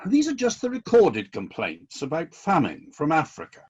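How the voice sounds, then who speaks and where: A husky-voiced, exacting celestial boss played by an actor in a satirical radio comedy. The delivery is measured and politely insistent, with very wide swings in pitch. The recording is slightly dull. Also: huge pitch swings, darkish tone. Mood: politely critical. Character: exacting, fair-minded celestial company boss reviewing complaints. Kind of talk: satirical radio play dialogue.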